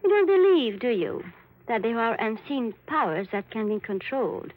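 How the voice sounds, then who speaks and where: A woman's voice: on the high side, very animated, narrow, tinny audio. A woman playing a cold, seductive, murderous conjure woman in a 1940s radio thriller, imperious and taunting. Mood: taunting menace. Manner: imperious, taunting, deliberate menace.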